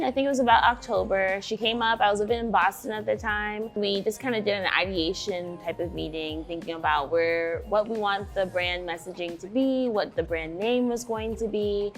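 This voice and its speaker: Gravelly-voiced young woman from Nigeria. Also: mid-range voice, normal speed, few pauses, faint music behind. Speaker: shoe brand co-founder. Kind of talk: interview answers.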